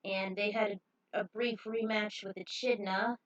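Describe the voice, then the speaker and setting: A woman hosting a fan fiction series, speaking informally in a casual storytelling style with drawn-out emphasis. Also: medium pitch, steady tone, recorded from a distance, highs cut off. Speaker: host reading own fan fiction series. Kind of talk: casual recap and story read-aloud.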